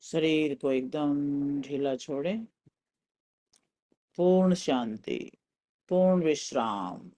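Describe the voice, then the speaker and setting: An Indian man leads a guided meditation at a very unhurried pace. His voice sits a little high for a man. He gives calm, soothing instructions in short phrases, leaving pauses between them.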